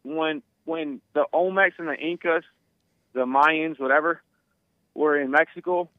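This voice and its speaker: A man's voice: fairly high pitch, measured pace, no room sound. Insistent male caller on a tinny phone line. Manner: insistent, repeats himself, stumbles over words. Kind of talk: phone-in argument.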